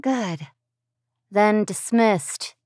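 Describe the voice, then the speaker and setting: A woman's voice: medium pitch, drawn-out words, darkish tone, no echo, clean studio sound. A woman playing a commanding, scheming villainess who speaks with theatrical condescension to her minions.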